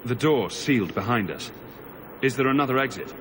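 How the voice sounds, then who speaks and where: Casting: male actor as sardonic mage hero for a fantasy game, mid-pitched, direct, noisy ambience. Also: expressive, a little fast, a bit bright, lightly acted. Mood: questioning. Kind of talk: video game voice acting.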